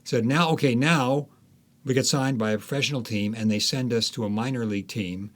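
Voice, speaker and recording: A man speaking as an interviewed author, telling stories conversationally with animated retellings and quoted asides. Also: medium pitch, fairly quick.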